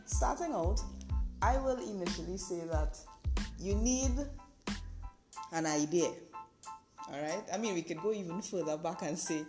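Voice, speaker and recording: A woman's voice: on the low side, measured pace, pauses often. A Trinidadian woman hosting a podcast, explaining things in an informal, conversational way with frequent checking tags like "right" and "you know". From Trinidad and Tobago. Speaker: podcast host. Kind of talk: informal instructional podcast monologue. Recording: roomy echo, music behind the voice.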